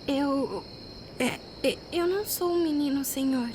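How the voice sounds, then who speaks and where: A woman's voice: high voice, measured pace, a little mellow, a little hiss or hum. A woman playing a meek, apologetic orphan girl of about ten in an audio drama, speaking hesitantly. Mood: timid. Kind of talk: acted audio drama dialogue.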